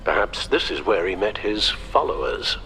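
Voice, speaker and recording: An actor playing a loyal, worried butler and confidant in a video game, speaking formally and solemnly with careful wording. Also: male voice, on the low side, animated, moderate pace, gravelly, sharp tone, slight background noise, heavily acted. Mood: concerned. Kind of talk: dramatic video game acting.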